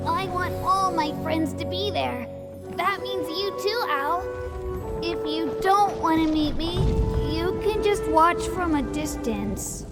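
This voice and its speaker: A small child in a video game who speaks earnestly. The voice is extremely high and the delivery is slow. Loud game music runs underneath. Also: heavily acted.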